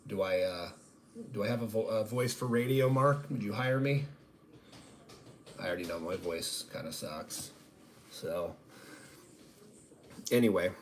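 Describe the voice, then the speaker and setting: A man with a slightly nasal voice, hosting a casual live-stream card reveal in a conversational, self-deprecating way. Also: on the low side. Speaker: sports card grading reveal host. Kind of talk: casual live-stream commentary on trading cards. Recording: noticeable steady background noise.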